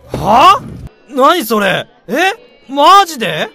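A man's voice: very high-pitched, huge pitch swings, takes it slowly, vocal fry, bright, forceful tone, faint music behind, heavily acted. A man acting in a radio drama as a nosy, easily miffed old friend, talking in casual, colloquial banter. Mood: incredulous, miffed.

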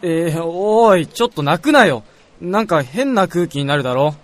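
Excitable junior researcher played by a man, high voice, very animated, earnest, gullible, radio drama. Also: normal speed, natural voice. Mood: alarmed.

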